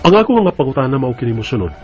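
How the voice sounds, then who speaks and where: A medium-pitched male voice with a Bisaya Filipino accent , a man acting. He is a hurt, betrayed father in a radio drama, speaking with earnest emotion over soft music. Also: sing-song, very fast, highs cut off, lightly acted. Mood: bitter, reflective. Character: hurt, betrayed working father, aggrieved and bitter.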